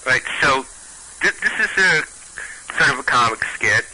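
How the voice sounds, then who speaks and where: A man with a Brooklyn accent who talks in halting bursts full of restarts. His voice is very bright and swings widely in pitch, with faint noise in the background. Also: poor audio. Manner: halting, many restarts, animated. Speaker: storyteller and stand-up comic. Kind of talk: casual chat and reading a comic tale.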